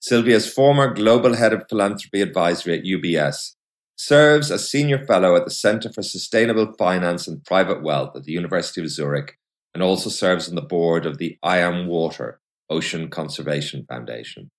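A man's voice: low voice, brisk. A man hosting a podcast, introducing the show and interviewing guests. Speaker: podcast host.

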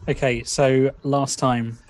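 A man's voice: medium pitch, little pitch movement, takes it slowly. A man playing in a tabletop role-playing show, talking casually and jokily with dry, sarcastic humour. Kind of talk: casual, jokey story recap.

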